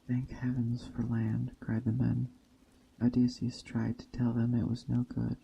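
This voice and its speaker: A man tells an old myth in a very monotone, measured way. His voice is dark and soft-edged with a gravelly creak. He sometimes trips on a word as he reads. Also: medium pitch, muffled recording, slight background noise. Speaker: storyteller. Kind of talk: reading a Greek myth story aloud.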